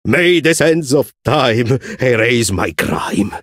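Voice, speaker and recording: A man playing a manic, gloating scorpion showman villain in a video game, with a gravelly voice, an Italian accent and a theatrical, drawn-out delivery. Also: mid-range voice, big pitch swings, fairly bright tone, full character voice. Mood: sinister, gloating. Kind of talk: video game character voice lines.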